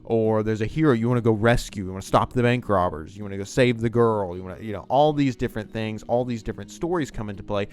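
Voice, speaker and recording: A man's voice: fairly low pitch, fast talker, few pauses, a little mellow. A man hosting a video essay, explaining things in a loose, conversational and emphatic way. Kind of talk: casual, unscripted explanatory commentary on TV writing.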